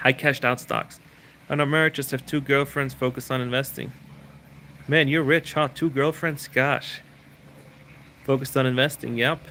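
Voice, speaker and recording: A man's voice: mid-range voice, steady tone, moderate pace, pauses often, bright, forceful tone, a little hiss or hum. A man who grew up in Switzerland, hosting a casual live Q&A, rambling and repeating words as he answers viewer questions.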